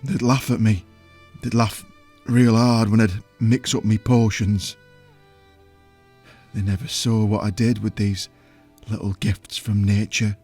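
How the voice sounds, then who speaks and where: An actor playing a huge, simple, childlike potion brewer in an audio drama, with rustic folksy speech and a halting, earnest, wounded manner. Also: male voice, on the low side, little pitch movement, a little mellow, breathy edge, soft background music. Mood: wistful. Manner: halting, plain-spoken, earnest. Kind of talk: audio drama character acting.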